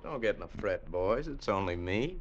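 An actor playing an easygoing, guileless cavalry trooper with a rustic drawl in an old-time radio drama. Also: male voice, on the low side, lively intonation, normal speed, background noise, natural voice. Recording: dull 1950s radio recording.

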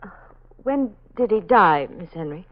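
A woman's voice: mid-range voice, animated, a little slow. A woman playing an anxious, insistent young daughter in 1940s radio drama, emotional and pleading. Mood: polite, shaken. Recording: muffled 1940s radio recording.

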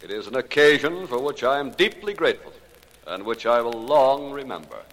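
An actor playing a dignified, uneasy astronomer with formal, educated diction in a 1940s radio drama. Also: male voice, medium pitch, very animated, brisk, a bit bright. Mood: formal, grateful. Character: uneasy, weary male astronomer, dignified and evasive. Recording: reverberant vintage 1940s radio recording.

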